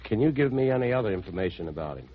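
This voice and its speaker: A man's voice: fairly low pitch, lively intonation, very fast. An American actor playing a worried, insistent man searching for his missing brother and narrating it in 1950s radio drama style, earnest and urgent. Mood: grim, anxious. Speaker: lead actor and first-person narrator. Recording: muffled old 1950s radio recording.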